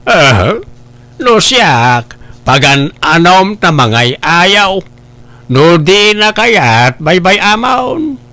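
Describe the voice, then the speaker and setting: A man's voice: high voice, big pitch swings, drawn-out words, sharp tone, no echo, heavily acted. A man with an Ilocano accent playing an impatient, pushy, complaining suitor in a comedy drama. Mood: exasperated. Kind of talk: comedy radio-style drama acting.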